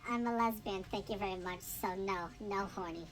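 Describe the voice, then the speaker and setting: A woman's voice: medium pitch, poor audio. A woman streamer reading a visual novel aloud, playful and joking with chat, cursing at times. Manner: playful, swears, jokes with chat.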